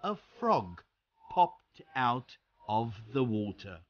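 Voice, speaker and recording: A storyteller narrating a children's fairy tale from a script in short, deliberate phrases. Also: medium pitch, very animated, dull audio. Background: music.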